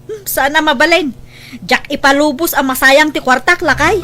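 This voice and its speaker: A woman's voice: sits high, moderate pace, sharp tone. An actress playing a pushy, money-anxious village woman in a scripted comedy drama, coaxing and insistent. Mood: worried, pleading.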